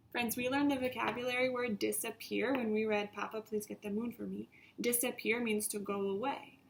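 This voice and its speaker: A woman's voice: on the high side, a bit bright. A woman teacher reading a story aloud to young children, with simple, instructive phrasing and repetition.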